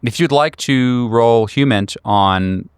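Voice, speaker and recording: A man's voice: mid-range voice, slow, clear, resonant tone, no echo, studio quality. A man acting as game master, narrating a tabletop horror game in a conversational way.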